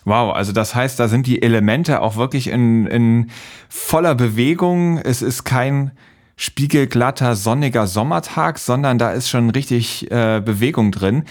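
A man's voice: mid-range voice, unhurried. Podcast host: man with a mid-range voice, attentive, conversational interviewing.

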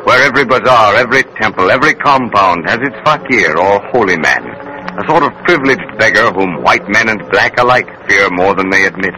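Low-pitched man narrating vintage radio. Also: animated. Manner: dramatic, measured announcer delivery. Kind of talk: 1930s radio drama narration, scripted. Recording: noisy, hissy 1930s radio recording.

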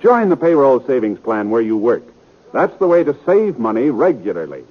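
A man's voice: medium pitch, big pitch swings, normal speed. An American man working as a radio announcer, reading scripted copy in 1950s broadcast style. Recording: tinny narrow-band 1950s radio recording.

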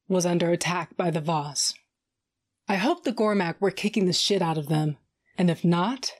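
A woman's voice: fairly low pitch, a little mellow, close to an everyday voice. A woman voicing a tough, sardonic fighter with a cybernetic eye who narrates in the first person, blunt and profane with dry humor.

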